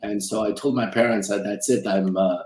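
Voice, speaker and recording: A man's voice: lowish voice, steady tone, a little fast, darkish tone, reverberant, slight background noise. A middle-aged man from Romania, raised in South Dakota, telling his story conversationally as an interview guest, often repeating and rephrasing himself. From Romania, raised in South Dakota from childhood. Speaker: interview guest, film executive producer and financial advisor.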